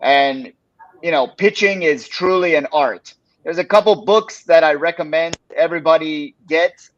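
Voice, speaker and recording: Relaxed man presenting on a stream. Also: a little high, normal speed, no echo, muffled recording. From Los Angeles, United States. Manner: relaxed and conversational, with frequent "uh" and "you know". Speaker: presenter of a pitching workshop. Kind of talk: casual live-stream presentation.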